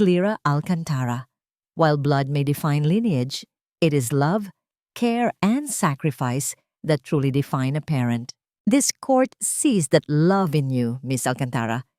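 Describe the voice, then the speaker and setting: A woman's voice: low-pitched, warm tone, dry recording, clean studio sound. A woman voicing a stern, impartial judge who formally pronounces rulings in an audio story. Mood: solemn, softened.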